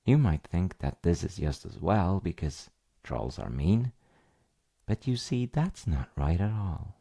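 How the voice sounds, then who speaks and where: Man acting tender storyteller dad, fairy-tale narration, gentle, unhurried, gravelly. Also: deep voice, big pitch swings, full character voice. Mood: gentle, storytelling. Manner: gentle, measured.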